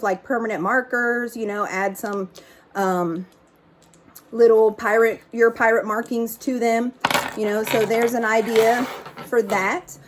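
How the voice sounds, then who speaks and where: A woman's voice: a little high, slight background noise. A middle-aged woman reading a picture book aloud and chatting casually to young listeners. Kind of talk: read-aloud storytelling with casual asides.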